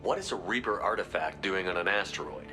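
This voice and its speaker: Man acting a fast, clipped military commander, music behind. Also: deep voice, close to an everyday voice. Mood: probing, skeptical. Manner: clipped, blunt questioning. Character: terse, commanding male military commander in space. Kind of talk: video game cutscene acting. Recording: music under the dialogue.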